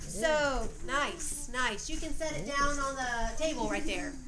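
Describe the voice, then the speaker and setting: A high-pitched woman narrates a family pageant at an unhurried, deliberate pace. She reads plainly for young listeners and slips into casual stage directions. The recording is an echoey amateur home video with clear background noise. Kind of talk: read-aloud storytelling with casual stage directions.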